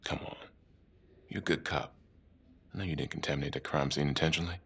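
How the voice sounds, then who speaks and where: An actor playing a gruff, supportive police colleague in a cinematic game, with a husky voice. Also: male voice, a bass voice, steady tone, fast talker, fairly bright tone, heavily acted. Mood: concerned. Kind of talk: cinematic video game acting.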